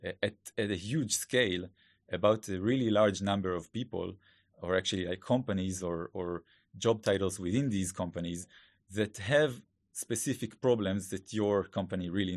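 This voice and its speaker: A man's voice: on the low side, no echo, clean studio sound. A man with an Israeli accent, a Hebrew native speaker, talking as a podcast guest in a thoughtful, casual way full of fillers and restarts. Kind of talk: casual interview talk. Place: studio.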